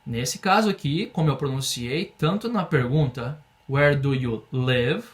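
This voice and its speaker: A young man from Brazil teaching an English lesson in Portuguese, explaining patiently and having students repeat phrases slowly. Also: medium pitch. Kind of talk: online language lesson.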